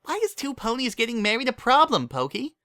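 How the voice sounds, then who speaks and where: A man voicing a bubbly, excitable young female pony party planner in a gushing manner. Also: very high-pitched, brisk, no echo, clean studio sound, pitched above the performer's own voice, heavily acted. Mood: excited, gushing. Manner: gushing, cheerfully dismissive.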